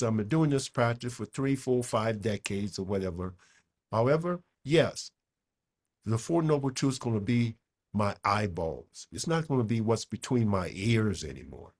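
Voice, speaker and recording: A man's voice: medium pitch, expressive, a little slow. A man in his seventies with Japanese as his first language, giving a dharma talk and answering questions, emphatic, repeating words and checking in with "okay?". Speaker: Buddhist teacher.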